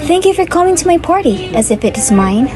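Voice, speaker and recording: A plucky, anxious little girl heroine played by a woman in a puppet show. The voice is high and swings up and down animatedly, over a noisy background. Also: big pitch swings, fairly quick. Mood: polite, relieved.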